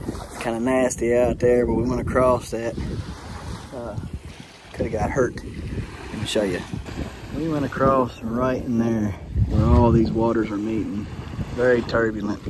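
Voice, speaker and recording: A man, excitedly narrating an outdoor adventure vlog on a phone outdoors by the water. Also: medium pitch. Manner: excited, folksy and chatty. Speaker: vlogger. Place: outdoors on a windy beach by the water. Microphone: handheld phone. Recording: loud wind noise on a phone mic.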